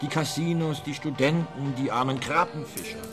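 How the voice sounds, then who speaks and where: A man's voice: on the high side, normal speed, music in the background, lightly acted. An actor playing an evasive tailor turned informant in a German radio play, telling his story in a hesitant, ingratiating, roundabout way. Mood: eager storytelling.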